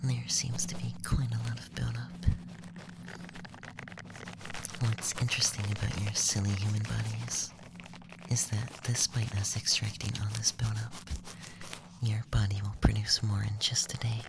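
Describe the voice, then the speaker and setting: A man voicing a curious, bumbling alien examiner in a soft, breathy ASMR whisper, close to the microphone. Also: lowish voice, level tone, normal speed, background noise. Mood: clinical, curious. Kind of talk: ASMR roleplay.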